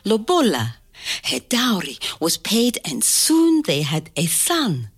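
An older woman telling a family story to a child, slow and expressive, pausing to ask gentle questions. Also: mid-range voice, slightly rough. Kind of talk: oral history storytelling to a child.

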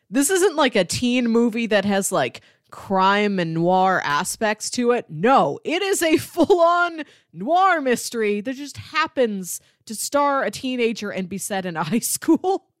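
A woman co-hosting a podcast in casual film chat, animated and given to acting out imagined dialogue. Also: fairly high pitch, a little slow, no room sound, studio quality. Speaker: podcast co-host.

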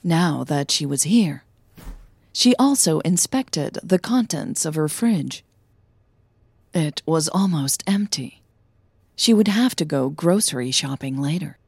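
Husky woman narrating a story, unhurried. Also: sits low, lively intonation, darkish tone, dry recording. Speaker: podcast host and fiction narrator. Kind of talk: scripted audio story narration.

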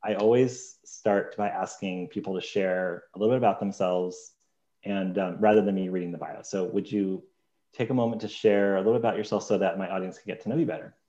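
Low-voiced male host in an echoey room. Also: brisk, muffled recording. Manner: relaxed, self-deprecating, laughing. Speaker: podcast host. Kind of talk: casual interview chat.

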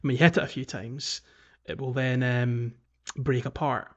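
A man hosting a casual video game discussion, speaking in a hesitant, halting way. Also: mid-range voice, steady tone, muffled recording. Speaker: podcast host.

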